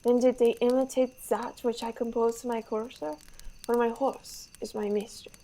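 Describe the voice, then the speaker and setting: Young woman as vain French prince for radio Shakespeare. Highish, soft-edged voice, declamatory, besotted with his horse. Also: flat delivery, normal speed, a little hiss or hum, close to an everyday voice. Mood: lofty praise. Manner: grandiose, declamatory. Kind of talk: radio theater Shakespeare acting.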